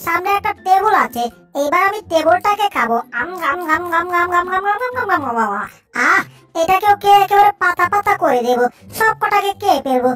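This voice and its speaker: An extremely high, sharp cartoon voice for a greedy little crocodile. It is childish and playful, sing-songing hungrily, with faint music behind it. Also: animated, a little fast, full character voice. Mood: ravenous, gleeful. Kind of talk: Bengali cartoon dub acting.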